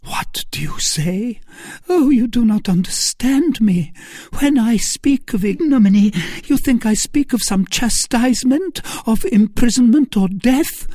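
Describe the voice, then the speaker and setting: A narrator voicing a melodramatic woman schemer in an audiobook novel, feigning pious innocence with breathy, imploring pleas. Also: mid-range voice, lively intonation, measured pace, mellow, no room sound, clean studio sound, voice pushed up. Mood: anguished pleading.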